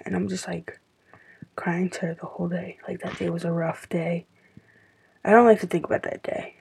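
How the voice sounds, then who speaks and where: Stuffy, tired teenage girl, mellow voice. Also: lowish voice, fairly even intonation, moderate pace, vocal fry, husky. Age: teens. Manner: rambling, many fillers, soft and confiding. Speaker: podcast host. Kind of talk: casual solo podcast talk.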